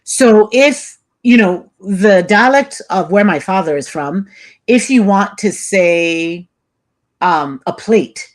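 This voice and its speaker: A woman's voice: medium pitch, animated, takes it slowly. A woman, an academic guest, explaining ideas in an assured, explanatory way. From Nigeria. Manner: assured, explanatory, frequent affirmations. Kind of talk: interview answers, explaining.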